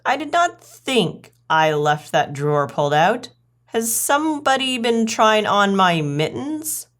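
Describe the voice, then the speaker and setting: A woman's voice: medium pitch, sing-song, a little slow, fairly bright tone, voice pushed up. A woman voicing a prim, houseproud lady cat hostess with fussy, genteel courtesy in a storybook reading. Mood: puzzled, prim.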